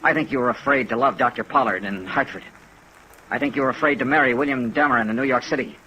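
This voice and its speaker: A man's voice: mid-range voice, quick pace, a little hiss or hum, low-quality recording. An actor playing an earnest, persistent male investigator in a 1950s radio drama, pleading and persuasive, on a vintage recording. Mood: earnest, insistent. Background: steady rain sounds.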